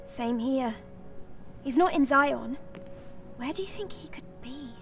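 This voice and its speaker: A woman's voice: high voice, animated, takes it slowly, a bit bright, recorded from a distance, soft background music, heavily acted. An actress playing an eager, loyal young female tech helper in a video game, earnest and polite. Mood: gentle, concerned.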